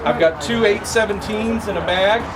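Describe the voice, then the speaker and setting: A man's voice: high-pitched, normal speed, over a noisy crowd. A man in his thirties giving an informal, methodical step-by-step practical demonstration to onlookers outdoors. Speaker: amateur radio demonstrator. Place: outdoors by a brick building and car park. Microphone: camera a few metres away. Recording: outdoor camera from a distance, loud ambient noise.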